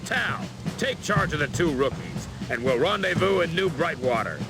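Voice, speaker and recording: A man's voice: on the high side, lively intonation, normal speed, music in the background, natural voice. An actor playing a stern, demanding male military commander in a fantasy game, giving authoritative, clipped orders. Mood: commanding. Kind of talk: dramatic fantasy game voice acting.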